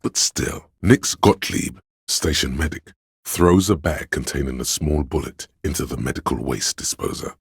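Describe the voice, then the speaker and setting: Grave omniscient inner-voice narrator for a detective game: extremely low, heavily rasped, measured and literary, close dry sound. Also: male voice, no room sound, exaggerated voice. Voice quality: very deep gravelly husky rasp.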